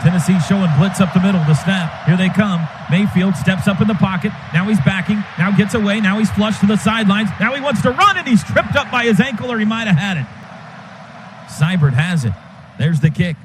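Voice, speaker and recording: High-voiced male radio play-by-play caller. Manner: rapid clipped play calls. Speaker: play-by-play announcer. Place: stadium broadcast booth. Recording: noisy stadium radio broadcast.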